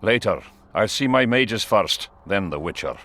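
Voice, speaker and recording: A man's voice: fairly low pitch, animated, a little slow, bright, forceful tone, exaggerated voice. An actor playing a brusque, imperious king in a fantasy video game, giving curt commands. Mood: commanding.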